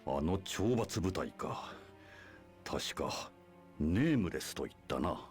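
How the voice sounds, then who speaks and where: An imperious, scheming leader of a church, deep and sweeping in pitch. He speaks in grandiose, archaic formal phrases, as in a dramatic video game scene, with music underneath. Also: very animated, moderate pace. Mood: haughty. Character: imperious, scheming male church leader preaching his doctrine. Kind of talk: Japanese video game voice acting.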